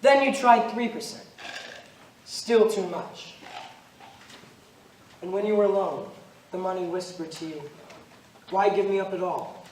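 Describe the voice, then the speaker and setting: A man's voice: high voice, darkish tone. A young man playing a grave, relentless, centuries-old stranger in an amateur stage play, speaking in a solemn, declamatory way. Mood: measured, knowing. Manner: solemn, deliberate, declamatory storytelling. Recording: roomy, noisy stage recording from far off.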